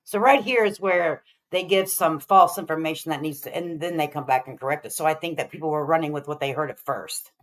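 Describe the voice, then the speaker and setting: A woman's voice: low voice, brisk, few pauses. A woman hosting a livestream, giving casual, emphatic and opinionated commentary on a case as she talks to her viewers.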